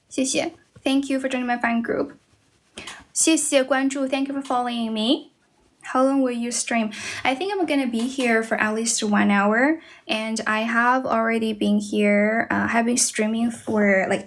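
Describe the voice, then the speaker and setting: A young woman from China hosts a casual English-practice livestream, speaking English with a Chinese accent that slips toward American and British sounds. Her voice sits a little high, and she talks in a loose, chatty way, often restarting sentences and pausing to thank viewers. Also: fairly even intonation. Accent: Chinese, mixed with American and British.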